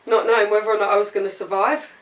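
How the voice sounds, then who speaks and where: A woman's voice: medium pitch, little pitch movement, rapid-fire. A woman in her forties giving a plain, matter-of-fact personal account in an interview, in clipped phrases. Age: 40s. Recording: roomy echo, distant mic, faint noise.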